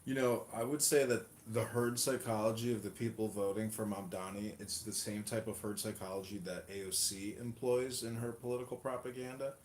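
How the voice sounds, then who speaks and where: A fairly low-voiced man holds forth about politics in a rambling, indignant stream, with plenty of fillers. The sound is echoey. Faint noise sits behind him. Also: fairly even intonation, brisk, hardly any pauses. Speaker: political candidate hosting an audio room. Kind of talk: casual political talk.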